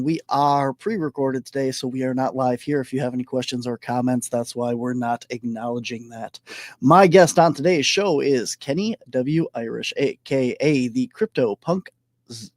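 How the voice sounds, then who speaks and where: Hoarse podcast host, dry mic. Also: male voice, mid-range voice, dry recording. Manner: energetic, halting with fillers. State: voice going hoarse. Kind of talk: casual interview.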